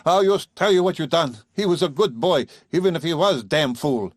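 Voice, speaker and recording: A man voicing a gruff, bullying old farmer with a whining, rough country dialect. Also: a little high, lively intonation, normal speed, no echo, studio quality, voice pushed up. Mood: angry, sneering.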